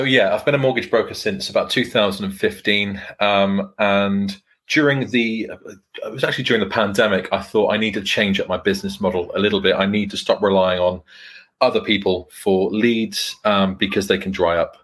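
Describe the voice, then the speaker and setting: A man guest in a casual interview with a somewhat low voice. He explains things in a measured, modest way with easy fillers. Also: fairly even intonation, a bit bright. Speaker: guest, mortgage broker.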